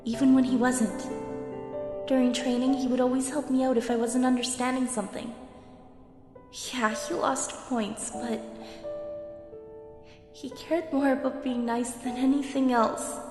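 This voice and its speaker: Woman acting a sorrowful high-voiced young cadet. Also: little pitch movement, unhurried, long pauses, roomy sound, big-room sound, music in the background. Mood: mournful. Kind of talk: anime parody acting.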